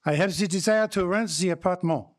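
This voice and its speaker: A man's voice: high voice, a little fast, natural voice. A man playing a courteous, fussy detective with a French accent, speaking formally and precisely in live radio drama style. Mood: polite, fussy. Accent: put-on French. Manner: formal, gallant, theatrically precise. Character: courteous, fussy Belgian male detective, precise and self-assured. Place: live performance in a library before an audience. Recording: live stage recording, faint noise.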